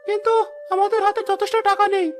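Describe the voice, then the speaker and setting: An extremely high-pitched, squeaky cartoon trader, a treacherous greedy man voiced by a woman. The delivery is fast. Faint music runs behind. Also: flat delivery. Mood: ingratiating. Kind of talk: cartoon story acting.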